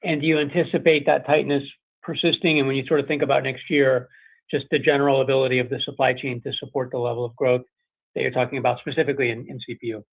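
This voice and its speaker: A man's voice: medium pitch, flat delivery, fast talker, vocal fry, slightly rough. A man speaking as a financial analyst, asking questions on an earnings call. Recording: dull, lo-fi conference line.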